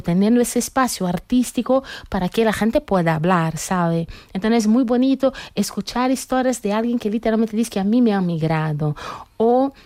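A woman's voice: medium pitch, darkish tone, no room sound. A woman from Brazil with a Brazilian accent, a guest in a radio interview, talking reflectively with many fillers and tag questions. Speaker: guest artist.

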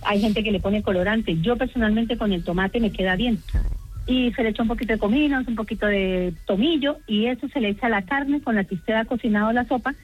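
A woman's voice: fairly high pitch, fairly quick, lo-fi sound. A middle-aged woman from Colombia with a Colombian accent, calling a radio show and chatting in talkative, detailed lists. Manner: talkative, detailed, listing things. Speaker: radio phone-in caller. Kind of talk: chatty explanation of home cooking.

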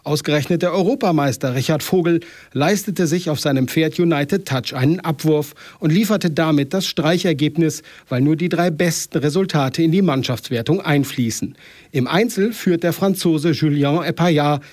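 A man's voice: on the high side, normal speed, darkish tone, dry recording. A man reading a scripted sports report for a news broadcast.

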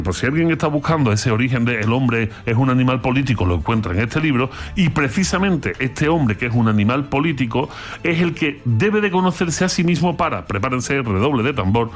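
Playful Andalusian man explaining philosophy. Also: medium pitch. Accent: Andalusian Spanish. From Andalusia, Spain. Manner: playful, theatrical asides. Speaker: philosophy commentator. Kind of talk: explanatory radio talk.

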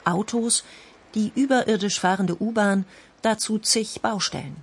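A woman's voice: mid-range voice, a little slow, husky. A woman reads a scripted documentary narration as a radio feature narrator.

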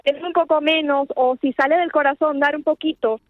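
A woman's voice: high voice, steady tone, brisk, no echo, phone-quality sound. A Venezuelan woman interviewed on the radio, speaking earnestly and repeating words as she searches for them. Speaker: guest, charity organizer.

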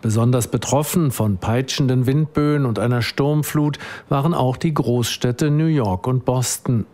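A man's voice: mid-range voice, moderate pace, mellow. A man reporting for radio news as a foreign correspondent, delivering the facts steadily.

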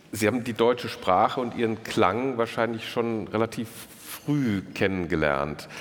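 A man hosting a live literary event, putting prepared interview questions in a measured, polite and formal way. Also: on the low side. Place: large echoing room at a live literary event. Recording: reverberant hall.

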